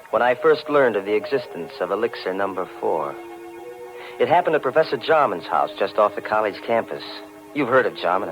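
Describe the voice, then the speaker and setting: A man's voice: on the low side, close to an everyday voice. An American actor playing a curious young man who narrates his own mystery story in 1940s radio style. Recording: old radio recording, telephone-like, music behind.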